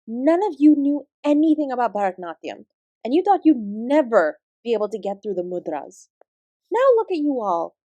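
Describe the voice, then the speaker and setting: A woman's voice: fairly high pitch, sing-song, moderate pace, mellow, no echo, lo-fi sound. A woman acting in a roleplay audio drama as an apsara who works as an instructive, composed dance teacher. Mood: reassuring. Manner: encouraging, instructive, addressing a class. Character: female apsara dance teacher, warm, encouraging, defensively composed.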